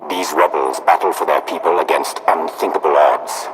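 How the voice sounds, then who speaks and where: An actor playing a solemn, honorable alien warrior who speaks in grave, formal pronouncements in a video game. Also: male voice, very deep voice, fairly even intonation, moderate pace, over music, low-quality recording. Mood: solemn admiration.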